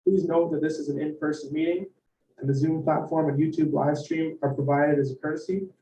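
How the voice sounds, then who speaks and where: A man chairing a public planning meeting, reading the procedural rules in a measured, formal way. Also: on the high side, level tone, mellow, far from the mic, slight background noise. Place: meeting hall. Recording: dull, roomy audio from off-mic.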